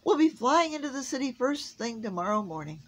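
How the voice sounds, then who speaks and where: A woman's voice: high voice, big pitch swings, brisk, voice pushed up. A woman voicing a jolly old man in a storybook, hearty and commanding, with exclamatory delivery. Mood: jolly, pleased.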